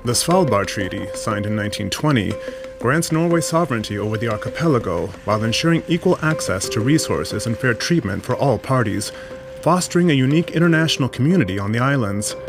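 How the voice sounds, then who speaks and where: A man reading scripted documentary narration in an even, measured way. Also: mid-range voice. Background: music.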